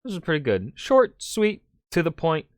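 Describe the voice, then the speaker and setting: A man's voice: fairly high pitch, huge pitch swings, normal speed, no room sound, studio quality. A man hosting a reaction video with casual, joking, sweary off-the-cuff commentary.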